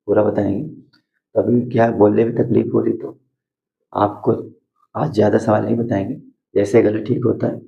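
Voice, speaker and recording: A hoarse man teaching math to school students at a board, repeating steps and asking questions that he answers himself. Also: on the low side, highs cut off. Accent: Hindi.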